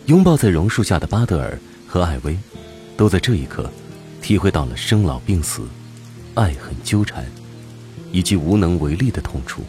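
A man with a very deep voice narrates an audio drama. His delivery is scripted and dramatic, with lively pitch movement and faint music behind him. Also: normal speed.

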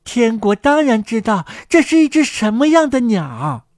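A woman co-hosting a children's story programme, narrating a fairy tale in an expressive scripted style. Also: on the high side, a little slow, dry recording, clean studio sound.

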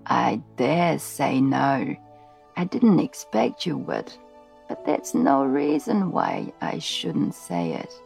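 Very old serene lady voiced by a woman, low and unhurried, dark tone. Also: wildly swooping pitch, gravelly, soft background music, heavily acted. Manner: slow, low, measured. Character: very old royal great-great-grandmother, calm, mysterious.

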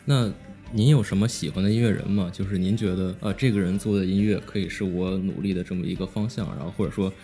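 Man, interviewer, low voice, fast, polite questions, faint music behind. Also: steady tone, dark tone. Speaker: interviewer host.

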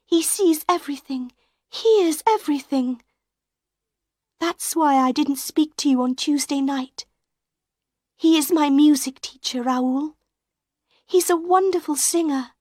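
A woman's voice: high-pitched, a little slow, long pauses, darkish tone, no echo, clean studio sound, voice pushed up. Woman doing a frightened young opera singer. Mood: fearful. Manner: breathless pleading whispers.

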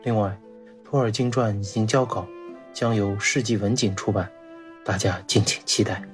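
A man's voice: fairly low pitch, steady tone, moderate pace, over music. A man speaking as a podcast guest, giving a scripted, storytelling narration.

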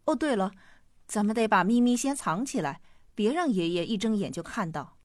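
A woman's voice: mid-range voice, expressive, moderate pace, dry recording, studio quality, close to an everyday voice. A woman playing a caring, practical mother in a family radio drama. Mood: agreeable, coaxing.

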